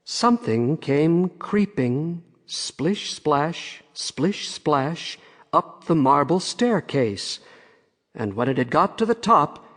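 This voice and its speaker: A man narrating a fairy-tale audiobook in a measured storytelling way, with long reverb on the recording. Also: on the high side, big pitch swings, fairly bright tone.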